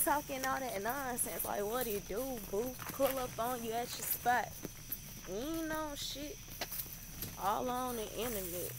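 A woman's voice: on the high side, unhurried, noisy background. Playful young woman vlogging from a phone. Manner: playful and teasing, slangy. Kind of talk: casual chat to camera. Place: inside a car at night, then a small room. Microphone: phone camera at arm's length.

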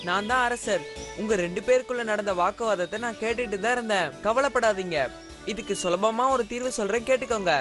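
A man voicing an authoritative cartoon king who speaks deliberately as he settles disputes. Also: high voice, lightly acted. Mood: calm, authoritative. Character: helpful-minded male king posing a riddle to settle disputes. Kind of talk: cartoon dubbing. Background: music.

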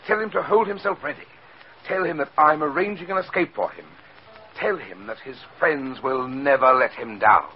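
An actor with an American accent playing a smooth-talking, scheming villain who poses as a friend, in 1940s radio style. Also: male voice, on the high side, normal speed, over music, lightly acted. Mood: earnest, urgent. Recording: dull, hissy 1940s radio recording.

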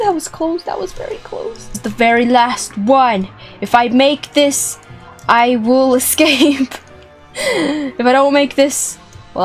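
A young woman giving playful, excitable, dramatic commentary as she plays a video game. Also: high voice. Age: young adult. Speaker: gaming video host. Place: indoor room with plush toys. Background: music.

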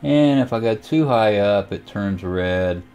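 A man giving an informal, step-by-step hobbyist walkthrough of software and equipment. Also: on the low side, expressive, a little slow, dull audio. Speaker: amateur radio hobbyist giving a tutorial. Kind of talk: casual software and radio walkthrough.

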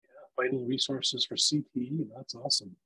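A man with a gravelly voice presenting a casual online session for teachers over a video call, with loose, filler-heavy talk. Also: medium pitch, steady tone, moderate pace, sharp tone, rough recording. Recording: video call audio, volume dropping in and out.

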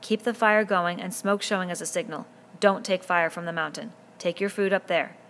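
A woman's voice: lowish voice, fairly even intonation, moderate pace, a bit bright, slight background noise. A woman reading a novel aloud, voicing an earnest, commanding boy chief who pleads and insists as he lays down rules. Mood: urgent.

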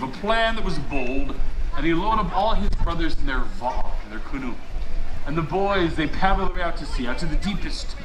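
Guy doing theatrical storytelling live outside, big pauses n stretched words, echoey and noisy. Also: fairly high pitch. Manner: dramatic pauses, drawn-out emphatic words. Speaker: resort storyteller. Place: outdoor poolside deck at a resort. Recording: roomy, noisy outdoor live recording.

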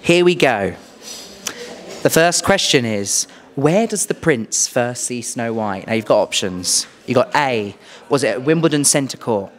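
A young British man plays quizmaster with an animated delivery. His medium-pitched voice swings widely up and down as he reads out playful questions and exclaims into a handheld mic. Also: measured pace. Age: young adult. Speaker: host, quizmaster. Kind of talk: playful quiz interview. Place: small furnished indoor room. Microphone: handheld microphone.

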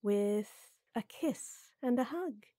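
Woman voicing a tall lady knight, flirty, soft-spoken, coaxing, slow pace, mellow tone, audio roleplay. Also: on the high side, expressive, dry recording, studio quality. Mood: flirty, teasing. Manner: soft, teasing, coaxing, with breathy near-whispers. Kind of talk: intimate roleplay audio drama.

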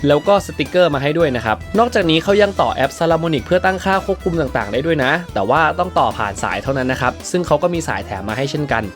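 A man's voice: on the high side, animated, fast, few pauses. A man narrating a product review in an explanatory way, with frequent polite particles. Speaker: tech reviewer and production crew member. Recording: background music under the voice. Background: background music.

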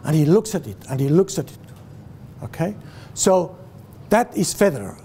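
A man's voice: fairly high pitch, big pitch swings, normal speed, warm tone, slight background noise. A man in his sixties with a Swiss accent, giving a guest lecture to students in a classroom, gesturing and asking the audience questions, with many fillers and restarts. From Switzerland. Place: classroom with a screen, indoors. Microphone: far camera, no mic seen.

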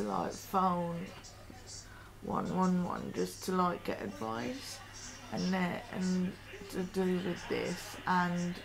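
Young woman, hoarse sore-throat voice, real gravelly, slow rambling chat to her laptop webcam at home. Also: on the low side, low-quality recording. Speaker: vlogger. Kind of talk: casual home vlog. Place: living room at home.

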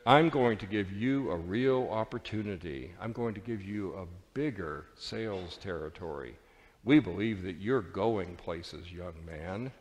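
Pushy patronizing male boss acted by a preacher, lowish voice, persuasive, reverberant church. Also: lively intonation, unhurried.

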